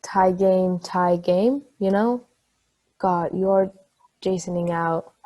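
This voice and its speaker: A woman's voice: fairly low pitch, fairly even intonation, slow pace, highs cut off. A woman acting an affectionate, brainy, playful partner in a casual, conversational table-read style. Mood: teasing. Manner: casual, conversational, gently teasing.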